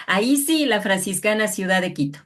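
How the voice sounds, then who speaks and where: A woman's voice: medium pitch, lively intonation, normal speed, fairly bright tone. A woman conducting an interview, asking long, respectful questions in a formal but conversational way.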